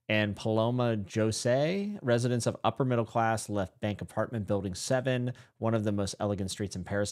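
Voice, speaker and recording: Male podcast host, slightly low voice, loose self-deprecating chatter with frequent laughs. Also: darkish tone. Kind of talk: casual livestream chat.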